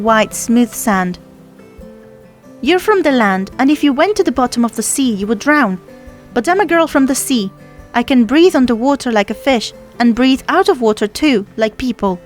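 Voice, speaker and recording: A little girl from the sea, acted by a woman in a storybook reading. She is high-pitched and lively, chattering proudly and affectionately with childlike certainty, while music plays behind her. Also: lightly acted. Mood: eager, proud. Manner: chatty, childlike, matter-of-fact boasting. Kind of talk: storybook character voice.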